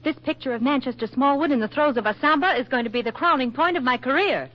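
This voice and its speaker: An actress playing a spirited, wisecracking young magazine photographer in a 1940s radio drama, sassy and mock-dramatic. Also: female voice, high-pitched, fast, close to an everyday voice. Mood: sassy, wry. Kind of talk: 1940s radio comedy-romance acting. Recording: muffled 1940s radio recording.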